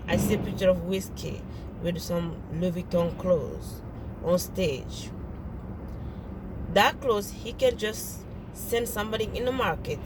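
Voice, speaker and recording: A woman's voice: fairly low pitch, noisy background. A woman with a French accent, a native French speaker from French-speaking Africa, making an earnest, pleading appeal straight to camera and often restarting her phrases. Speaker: video blogger.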